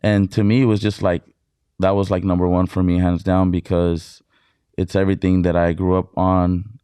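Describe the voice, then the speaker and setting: A man from Mexicali, a DJ guest on an interview show, telling stories in excited, casual talk full of filler words and swearing. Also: sits low, moderate pace, clear, resonant tone, no room sound, studio quality. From Mexicali, Baja California, Mexico.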